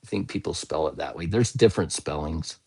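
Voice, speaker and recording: A man with a hoarse, scratchy voice, hosting a casual live-stream English lesson and patiently answering viewer comments, often spelling words out. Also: extremely low pitch, lively intonation, fairly quick, darkish tone. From United States.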